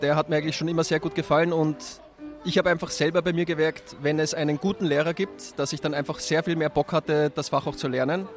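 A man answers questions at an outdoor street festival, sounding candid and a little self-mocking. His voice sits fairly high for a man. Faint music plays in the background. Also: fairly even intonation, fast talker. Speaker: teacher interviewed at a street festival. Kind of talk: relaxed interview answers.

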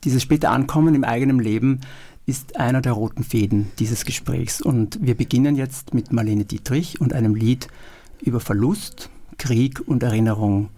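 A man hosting a radio interview show, reading a prepared introduction in a calm, measured way. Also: mid-range voice. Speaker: radio host. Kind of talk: scripted radio moderation. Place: radio studio.

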